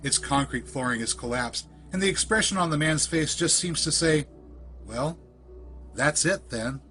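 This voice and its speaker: A man reading a scripted first-person spooky story in a measured, deliberate storytelling manner. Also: on the high side, sharp tone, soft background music. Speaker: narrator of a creepypasta podcast.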